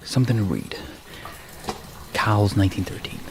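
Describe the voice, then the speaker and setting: An actor playing a jumpy, self-mocking young graduate student in a radio drama, husky and nervously muttering. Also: male voice, fairly low pitch, moderate pace, background noise, lightly acted. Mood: nervously wry. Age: young adult. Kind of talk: radio drama acting, interior monologue.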